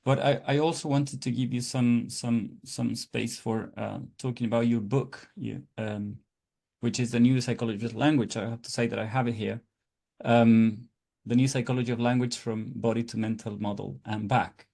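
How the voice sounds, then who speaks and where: A male podcast host asks his guest questions. His voice is gravelly and sits at an average pitch. He stumbles and repeats words as he builds each question. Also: fairly even intonation, moderate pace, dark tone. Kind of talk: interview questions.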